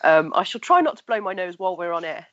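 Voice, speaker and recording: A woman's voice: on the low side, big pitch swings, fast talker, fairly bright tone, dry recording, muffled recording. Nasal, stuffed-up woman guest. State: blocked nose, nasal. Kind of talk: casual interview talk.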